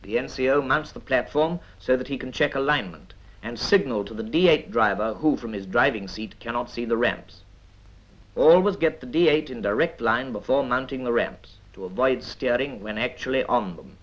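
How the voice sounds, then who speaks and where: A British man reads scripted 1950s military training film narration in a measured, instructive manner with dry asides. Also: mid-range voice, a bit bright. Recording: dull vintage 1950s film soundtrack.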